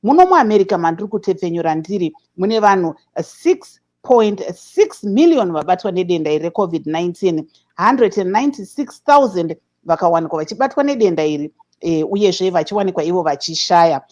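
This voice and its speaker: A woman presenter reading a news update in a steady, measured way with small hesitations. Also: on the low side. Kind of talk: news report. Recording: dull lo-fi sound.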